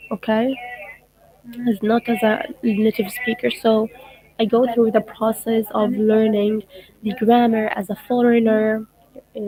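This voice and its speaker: Woman from Gaza, Arabic native, livestream chat with followers, somewhat high voice, gravelly. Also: dark tone, rough recording. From Gaza, Palestine. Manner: thoughtful, unhurried, reads comments aloud. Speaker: livestream host answering followers. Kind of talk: casual live chat reading comments.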